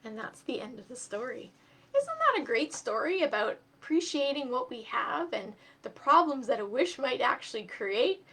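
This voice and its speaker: A woman hosting a children's storytime, expressive and speaking directly to young listeners as she reads and explains step by step. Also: high-pitched, moderate pace, slightly rough. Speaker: storytime host and reader for children. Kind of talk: animated storybook reading and craft instruction for children.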